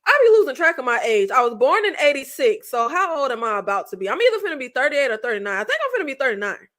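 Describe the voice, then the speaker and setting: Bright, high-voiced chatty woman host. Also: fast talker. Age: 30s. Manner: chatty, animated, slangy, laughs often. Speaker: channel host. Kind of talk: casual chat to viewers.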